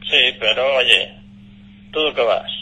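A man's voice: medium pitch, a little slow, bright, forceful tone, a little hiss or hum, poor audio. A man talking firmly and disapprovingly on a casual call over speakerphone. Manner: disapproving, firm. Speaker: pet owner receiving a call. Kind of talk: casual phone conversation. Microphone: phone call played over speakerphone. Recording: raspy telephone speaker sound.

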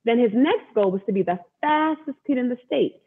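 A woman in her thirties leads a children's story time in a lively, swooping voice. Her tone is slightly husky and sits a little high. She sounds encouraging and teacherly, over somewhat muffled video-call audio. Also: fairly quick. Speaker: author and event host. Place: room at home, indoors. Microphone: video call, wireless earbud.

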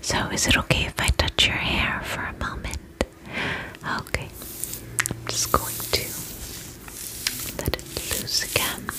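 A woman whispering gently and reassuringly in a breathy voice, as a spa attendant in an ASMR roleplay. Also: a little slow, pauses often, low-quality recording. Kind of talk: ASMR roleplay, personal-attention service talk.

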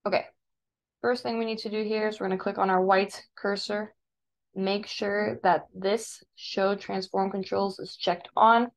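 An American woman teaching a step-by-step software tutorial in an informal, instructive way. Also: mid-range voice, little pitch movement, measured pace, creaky. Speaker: digital design teacher. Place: voice-over for a screen recording.

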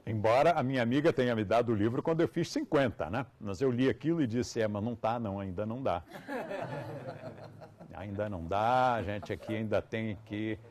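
A man's voice: on the low side, a little fast, darkish tone. A man in his seventies with a Brazilian Portuguese accent, giving a live lecture to students in a conversational way with ironic asides. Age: 70s. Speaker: yoga teacher.

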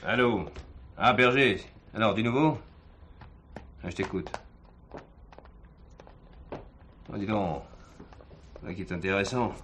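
Low-voiced, wry police commissioner, noisy recording. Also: male voice, slow, pauses often. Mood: businesslike. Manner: dry, familiar, commanding. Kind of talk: French radio crime drama acting. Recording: clearly noisy background.

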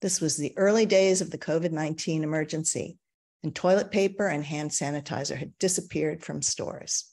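An older American woman reads her personal essay aloud on a video call from a home study, measured and reflective, with emphatic turns. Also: low-pitched, dark tone. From United States. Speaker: essayist reading her work.

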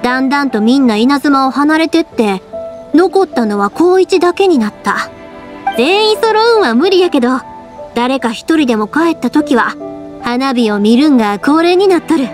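A cheerful young woman who makes fireworks, talking in a Kansai accent. Her voice sits high and swoops lively up and down. She teases her friends with warmth, with quiet music under the game scene. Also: normal speed. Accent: Kansai dialect. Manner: lively, teasing, warm-hearted. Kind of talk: video game voice acting. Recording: game audio with soft music.

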